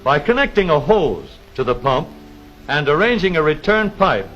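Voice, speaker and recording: A man narrating a scripted 1930s educational film in a measured, formal announcer's delivery with precise, explanatory phrasing. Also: on the high side, big pitch swings. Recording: dull vintage 1930s film soundtrack, music behind.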